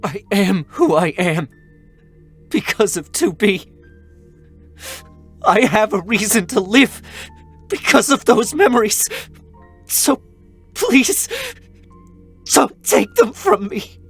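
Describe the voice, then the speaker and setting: A young android soldier, devoted and unraveling. His voice is soft and breathy, and he takes his lines slowly and with raw feeling over music. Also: high voice, lively intonation, exaggerated voice. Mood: pleading. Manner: slow, emotionally raw delivery. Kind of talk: dramatic scripted reading.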